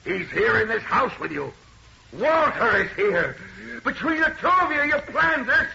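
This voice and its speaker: An actor playing a murderous husband cracking with fear, theatrical, in an old radio horror play. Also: male voice, very high voice, very animated, normal speed, vocal fry, full character voice. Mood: enraged, frantic. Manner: theatrical, swinging from muttered dread to shouting. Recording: noisy, dull old radio recording.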